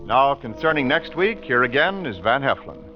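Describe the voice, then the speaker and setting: A man's voice: medium pitch, big pitch swings, normal speed. An American man announcing a scripted 1940s radio programme in a formal, polished style. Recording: muffled old radio recording. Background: loud music.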